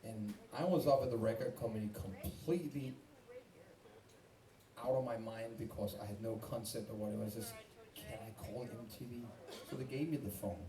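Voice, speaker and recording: A man's voice: lowish voice, normal speed, warm tone. A man with a Danish accent, a rock singer rambling and swearing as he tells a story between songs at a live club show. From Denmark. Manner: rambling, swearing, self-mocking. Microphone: stage microphone through PA. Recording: roomy live club sound from far off.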